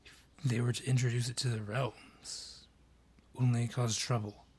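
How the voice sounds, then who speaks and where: A man playing a grudging, sardonic dryad in a fantasy audio roleplay, with a gruff, curt, world-weary manner and a husky, breathy voice. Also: mid-range voice, flat delivery, a little slow, darkish tone. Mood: grave, storytelling.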